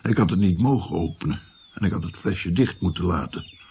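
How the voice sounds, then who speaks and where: A weary court physician narrating a radio drama. The voice is deep and very husky, with a gravelly creak. He tells his tale in a measured, sombre, confiding manner. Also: dark tone, full character voice. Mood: resigned. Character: weary male Renaissance physician recounting his past at court.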